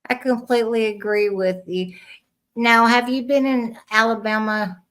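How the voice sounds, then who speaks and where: A woman's voice: a little high, steady tone, moderate pace, fairly bright tone. A woman hosting a podcast, speaking in a casual, conversational interview style. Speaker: podcast host.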